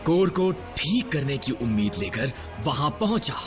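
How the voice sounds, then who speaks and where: A grave cartoon robot soldier with a mid-pitched, measured delivery. He speaks solemnly, like a veteran recounting a long war. Loud dramatic music plays behind a muffled recording. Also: sing-song. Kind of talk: dubbed animated series dialogue and narration.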